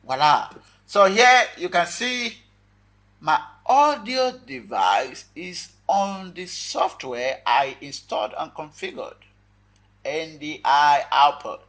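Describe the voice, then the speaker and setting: Bright, slow-paced man presenting a tutorial. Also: on the high side, big pitch swings. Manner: informal, addresses viewers as guys, talks through steps in short phrases. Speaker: software tutorial host. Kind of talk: casual screen-recorded tutorial talk.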